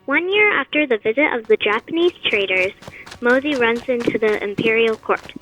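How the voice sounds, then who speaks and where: A woman's voice: a little high, expressive, moderate pace, a bit bright, faint music behind. A woman reading scripted narration for an audio play. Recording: tinny, phone-like band-limited audio.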